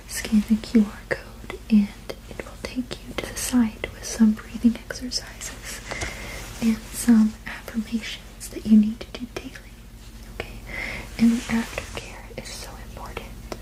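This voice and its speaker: A woman whispering softly and breathily in a soothing, reassuring, unhurried ASMR roleplay as a sleep therapist. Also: on the high side, little pitch movement, low-quality recording. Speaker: ASMR performer playing a witch sleep therapist.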